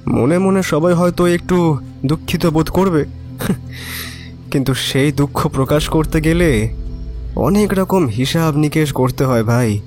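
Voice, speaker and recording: Young man, audio drama: wry, resigned local talker, fairly high voice, music behind. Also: takes it slowly, pauses often, a little mellow. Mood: wry, cynical. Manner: colloquial, emphatic repetition, wry. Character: resigned, cynical young neighbourhood man chatting at tea stall. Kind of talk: Bengali audio drama acting.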